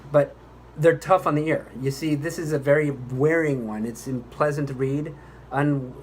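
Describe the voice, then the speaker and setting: A man's voice: a little high, slight background noise, close to an everyday voice. A man playing a pompous, exasperated commercial voice actor at a recording session, grandiose, indignant and condescending. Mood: weary, grumbling. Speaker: voice-over actor. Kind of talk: re-enacted commercial recording outtake.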